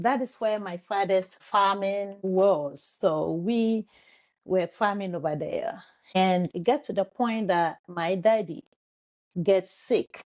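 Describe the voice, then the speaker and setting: A woman with a Ghanaian accent tells her own story as an interview guest. She talks slowly and earnestly, with a warm, mellow tone. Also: mid-range voice. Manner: earnest, grateful, slow and halting.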